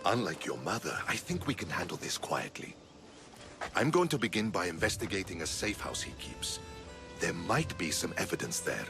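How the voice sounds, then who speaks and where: An actor playing a level-headed, principled Spartan military man in a video game, measured and reasoning. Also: deep voice, very animated, creaky, exaggerated voice. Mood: grave, reasoning. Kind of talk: video game dramatic acting. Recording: music under the dialogue.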